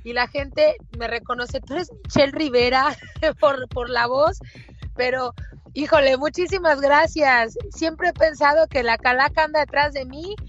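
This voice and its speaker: A woman with a Mexican accent hosting a talk show in a husky voice, speaking in an earnest, reflective, conversational way. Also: high voice, unhurried, a bit bright, lo-fi sound. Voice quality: husky, hoarse-edged voice. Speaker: show host. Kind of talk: conversational radio-style talk.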